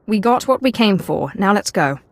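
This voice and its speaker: A woman's voice: mid-range voice, expressive, moderate pace, gravelly, no echo. A woman playing a cool, self-possessed Sith sorceress in a video game, speaking in terse, dry phrases. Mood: brisk.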